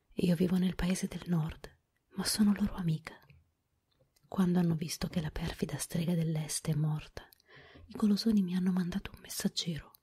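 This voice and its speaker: A woman voicing a kind, calm little old witch in a whispered storybook reading. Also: on the low side, breathy edge.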